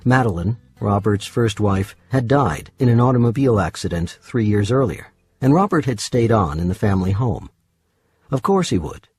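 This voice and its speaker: Low, husky male audiobook narrator. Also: sing-song, moderate pace, a little mellow, no echo. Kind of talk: scripted fiction narration. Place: dry studio.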